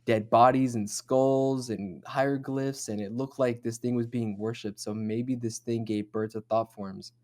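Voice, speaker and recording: A man's voice: mid-range voice, steady tone, a little slow, few pauses, a little mellow. A man hosting a horror discussion show, talking in a casual, rambling, speculative and sometimes profane way. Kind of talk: casual chatty analysis of horror lore.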